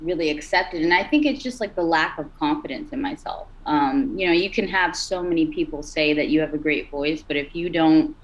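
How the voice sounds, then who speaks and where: A woman singer with a raspy, rugged voice, chatting as a podcast guest, loose and full of "like" and "you know". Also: low voice, brisk, few pauses, lo-fi sound. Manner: relaxed, many fillers like "like" and "you know". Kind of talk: casual interview talk.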